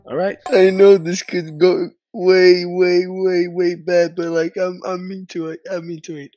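A man's voice: sits high, flat delivery, a little slow, darkish tone, dull audio, faint music behind. Upbeat man with a raised voice. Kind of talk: casual video chat.

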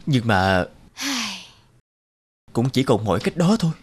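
A man voicing a poor, timid peasant father in an animated folk tale, humble and hesitant as he pleads. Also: mid-range voice, unhurried, slightly rough. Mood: anxious, humble.

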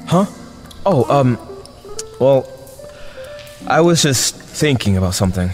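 Young male superhero man actor, hesitant, conciliatory, lively intonation, audio drama, echoing reverb. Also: medium pitch, takes it slowly. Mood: hesitant, earnest. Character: earnest, awkward, conciliatory young male superhero held captive. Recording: long reverb over faint music.

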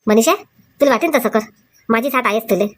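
A woman's voice: fairly high pitch, fast talker, a little hiss or hum, close to an everyday voice. A woman voicing a doting young husband in a comic cartoon, agreeable and encouraging. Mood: proud, agreeable.

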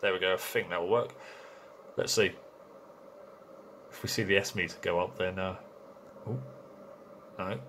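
A man's voice: low-pitched, steady tone, normal speed, pauses often, fairly bright tone. A man chatting casually and hesitantly as he narrates and operates amateur radio. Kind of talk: casual hands-on narration and radio contact chat. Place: small indoor radio shack. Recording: noisy room with radio hiss.

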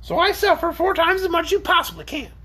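A shrill male orc from a storybook. The voice sits very high and swoops about with scorn. It whines and grumbles, plaintive and sorry for itself. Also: big pitch swings, fast talker, bright, forceful tone, full character voice. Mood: indignant. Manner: plaintive, complaining. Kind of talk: acted storybook character.

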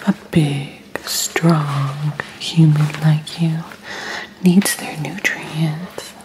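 A woman's voice: sits low, steady tone, background noise. A woman playing a sweetly coddling alien housewife girlfriend in a whispered, coaxing ASMR roleplay. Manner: slow, coaxing, babying. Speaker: ASMR performer.

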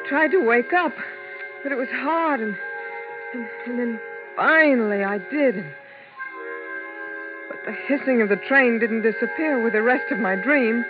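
A woman's voice: sits high, narrow, tinny audio. An actress playing a weary Las Vegas showgirl who recounts her ordeal in a 1950s radio drama. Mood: frightened. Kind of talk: 1950s radio drama acting, first-person narration. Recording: band-limited 1950s radio, music behind.

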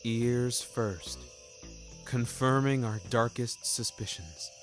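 A man narrating scripted fiction in a wordy, wry and theatrical way, taking his time over phrases. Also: mid-range voice. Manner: wordy, wry, deliberate storytelling. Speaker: narrator of an audio fiction series. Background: music.

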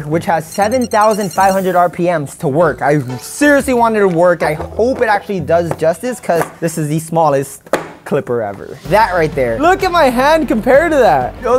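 A young man in his twenties, a barber and video host, reviewing products in an animated, exclaiming way, full of slang. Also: sits high, moderate pace, soft background music. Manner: animated, exclaiming, slangy ("bro", "what the heck"). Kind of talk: excited product unboxing and review. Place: indoor studio set at a table.

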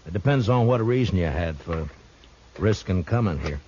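An actor playing a calm, stern marshal in a radio western, speaking in a dry, unflustered way. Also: male voice, low voice, normal speed. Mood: dry, probing. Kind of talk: 1950s radio western acting. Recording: muffled, noisy 1950s radio recording.

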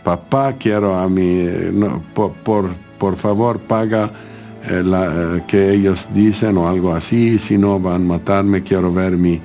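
Male interviewee, non-native Spanish, slow broken phrasing, faint music behind. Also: deep voice, steady tone, mellow. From Lebanon. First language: not Spanish. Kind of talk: emotional interview recollection.

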